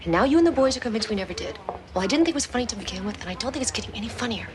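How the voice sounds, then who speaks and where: A woman's voice: on the high side, animated, very fast, a crowd in the background, close to an everyday voice. An actress playing a frazzled suburban wife and mother who grows indignant, in a naturalistic television drama. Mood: hurt, annoyed.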